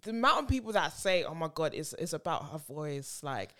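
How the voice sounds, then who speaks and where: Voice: medium pitch, sing-song, fairly quick, pushed and bright. A podcast co-host from Ghana, with Ghanaian English as a first language, chatting casually and often asking "do you know what I'm saying".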